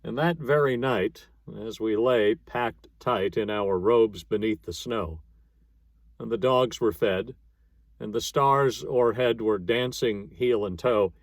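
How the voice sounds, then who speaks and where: A man reading a narrative poem aloud as a dramatic storyteller, with measured, rhythmic delivery. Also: lowish voice, dry recording. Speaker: narrator, poetry reader.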